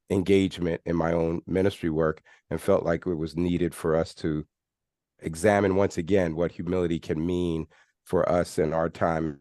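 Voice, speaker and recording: A man's voice: sits low, mellow, dry recording, clean studio sound. A middle-aged man, a former pastor and New Testament professor, giving brief earnest opening remarks.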